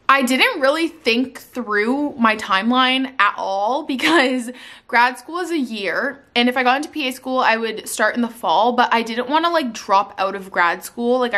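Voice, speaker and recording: A young Canadian woman gives casual life updates to the camera from her living room sofa. She talks chattily with many fillers. Her voice is bright and a little high. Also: normal speed. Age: young adult. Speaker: vlogger. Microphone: camera in front, seated.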